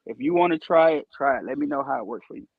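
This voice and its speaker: Young man with a husky, high voice. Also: fast, no echo, phone-quality sound. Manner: laid-back, repetitive, explains at length. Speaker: guest. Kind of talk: casual conversation.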